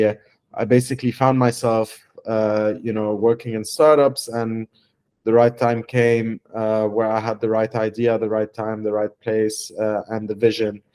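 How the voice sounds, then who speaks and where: Halting young founder, many fillers. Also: male voice, fairly low pitch, darkish tone. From Lebanon. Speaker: startup founder. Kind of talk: testimonial interview.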